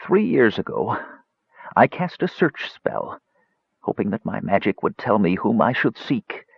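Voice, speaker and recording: A man playing a desperate, stubborn old wizard in an audiobook, speaking slowly and urgently in a strained, labored voice. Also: lowish voice, big pitch swings, gravelly, husky, no echo, lo-fi sound, voice pushed up, heavily acted. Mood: weary, solemn. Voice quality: strained, strangled.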